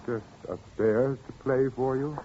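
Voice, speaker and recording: An actor playing a calm, quietly menacing male organist who turns out to be a murderer, in a vintage radio drama. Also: medium pitch, measured pace, lightly acted. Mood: gloating. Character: soft-spoken sinister male organist murderer. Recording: muffled old radio broadcast with hiss.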